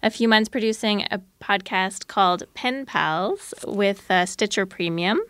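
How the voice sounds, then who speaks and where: A woman's voice: mid-range voice, measured pace, fairly bright tone, smooth, no echo. A woman who produces podcasts, talking casually as an interview guest.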